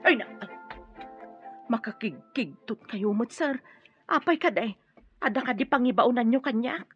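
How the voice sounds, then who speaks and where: A woman's voice: on the high side, expressive, normal speed, faint music behind, close to an everyday voice. A woman playing a nervous, talkative, deferential household servant with an Ilocano accent in a radio drama. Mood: startled, nervous. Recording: dull, dry radio drama audio.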